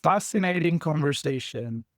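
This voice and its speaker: A man hosting a podcast, enthusiastic and warm in his praise as he wraps up the conversation. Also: on the high side, lively intonation, normal speed, dry recording, clean studio sound. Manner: enthusiastic, wrapping up with thanks. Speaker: podcast host.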